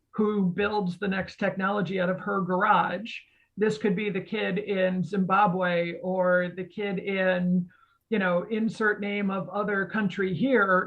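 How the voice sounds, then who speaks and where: A woman's voice: mid-range voice, vocal fry. Woman co-host on dull, band-limited audio. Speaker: podcast co-host. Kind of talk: conversational explanation.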